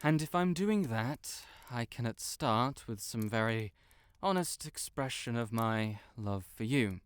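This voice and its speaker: A proud, stuck-up young wizard, acted by a man in a fantasy audio roleplay, speaking at a slow pace with a middling pitch. He is halting and defensive, an exasperated tsundere best friend who is earnestly in love. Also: expressive, smooth, dry recording, lightly acted. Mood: sheepish, affectionate.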